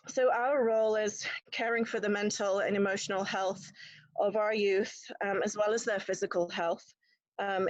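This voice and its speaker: A woman's voice: medium pitch, dry recording. A woman in her thirties with an English accent, introducing herself at a virtual club meeting with frequent ums. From England. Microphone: video call. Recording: dull, dry video-call audio.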